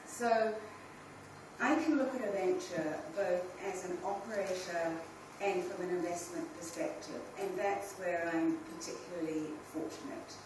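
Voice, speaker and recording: A middle-aged woman speaks to a conference room in a relaxed, direct way, often restarting her phrases. She is picked up from a distance, so her voice rings around a very reverberant hall, and steady background noise runs underneath her. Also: fairly low pitch, measured pace. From New Zealand. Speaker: panel speaker. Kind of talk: informal conference talk. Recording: very echoey hall from far off, with ambient noise.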